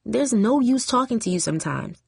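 A woman's voice: fairly high pitch, big pitch swings, normal speed, vocal fry, a little mellow, husky, no echo, clean studio sound, pitched above the performer's own voice, full character voice. A woman voicing an angry, exasperated teenage girl in a story, heated and accusing.

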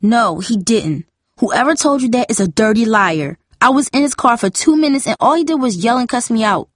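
A woman's voice: medium pitch, big pitch swings, brisk, husky, dry recording, clean studio sound. A woman voicing a defiant, defensive teenage girl with a heated, slangy way of talking, in a story reading. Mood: defensive, warning. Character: defiant, defensive younger sister, a school cheerleader.

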